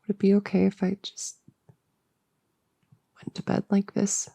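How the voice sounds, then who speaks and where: A woman playing a nervous, lonely cultist who summons demons, in an intimate sleep-aid roleplay, stammering and rambling softly with breathy edges into a close microphone. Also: medium pitch, completely flat delivery, no room sound, exaggerated voice. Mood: tender, shy. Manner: flustered, halting, stammering, rambling. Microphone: close, dry microphone.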